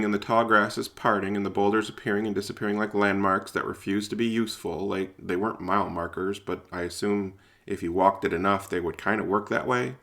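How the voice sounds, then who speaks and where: Low, gravelly man narrating an audio drama. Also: a bit bright. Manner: hesitant, informal, thinking aloud. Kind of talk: first-person conversational audio drama narration.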